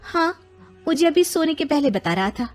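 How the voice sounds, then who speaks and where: A woman voicing an irritated, sharp-tongued wife and mother in a story, with a scolding, sarcastic, emphatic manner. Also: sits high, animated, moderate pace, soft background music, voice pushed up. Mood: reproachful.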